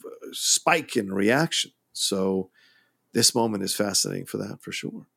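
Man, podcast co-host, gravelly voice, lively swinging pitch, emphatic casual talk. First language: English, grew up with Spanish too. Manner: animated, emphatic, quick agreeing interjections. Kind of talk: casual film discussion.

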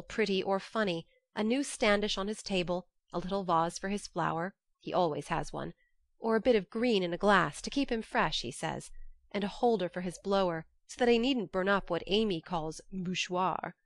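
A woman reading as a lively, affectionate young woman writing letters home, expressive and confiding, in an audiobook. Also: on the low side, dry recording, close to an everyday voice.

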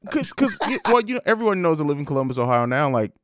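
A young man hosting a casual podcast, swearing freely and telling animated stories. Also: a little high, fast talker. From grew up on US military bases in Italy. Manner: animated storyteller, laughs often. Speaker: podcast host.

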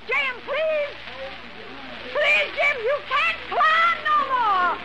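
A woman acting a fierce, defiant woman in a radio western, loud and strident. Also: very high voice, lively intonation, extremely slow, full character voice. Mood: frantic. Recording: noisy old radio drama, crowd uproar.

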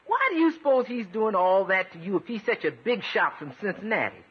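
An actress playing a plain-spoken, good-humoured household cook with a husky voice and folksy, emphatic delivery in a 1950s radio comedy. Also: female voice, medium pitch, sing-song, a little fast. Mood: wry, amused. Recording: muffled old radio recording.

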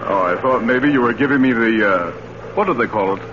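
An actor in 1940s radio style, playing a genial, worldly professor with a wry, leisurely manner. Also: male voice, mid-range voice, a little fast, close to an everyday voice. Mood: wry, relishing. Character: genial, worldly English professor relishing old murder cases. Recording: noisy, dull 1940s radio recording.

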